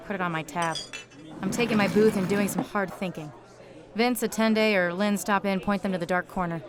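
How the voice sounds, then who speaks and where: An actress playing a hard-drinking, world-weary private eye in a noir audio drama, terse and wry. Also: female voice, mid-range voice, steady tone, normal speed, a crowd in the background, natural voice.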